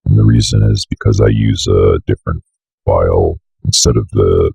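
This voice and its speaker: A man's voice: very deep voice, fairly even intonation, normal speed, creaky, dark tone, a little hiss or hum. A young man giving a casual screen-share tutorial, rambling and thinking aloud as he works. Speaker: tutorial presenter. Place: indoors at a computer desk.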